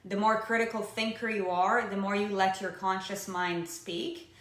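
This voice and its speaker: Bright-voiced woman chatting candidly. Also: mid-range voice, level tone, moderate pace, roomy sound. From Israel. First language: not English. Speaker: co-host of a coaching show. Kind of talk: casual, explanatory chat.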